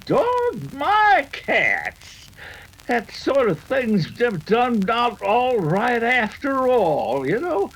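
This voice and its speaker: Husky, slow-drawling old frontier rancher. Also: male voice, very high voice, a bit bright, exaggerated voice, low-quality recording. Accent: American. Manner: halting, repetitive, rustic drawl. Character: folksy old frontier rancher father, excitable and warm-hearted. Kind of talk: old-time radio western acting.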